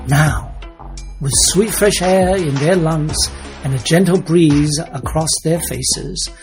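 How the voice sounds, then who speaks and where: A man reading a scripted trailer narration in a dramatic, deliberate storytelling manner. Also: on the high side, darkish tone. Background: music.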